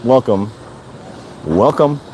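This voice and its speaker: A man's voice: mid-range voice, lively intonation, slow, darkish tone, a little hiss or hum, rough recording. An older man from Wisconsin chatting casually on a live stream, rambling and repeating himself as he answers viewer comments. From Wisconsin, USA. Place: outdoor city balcony.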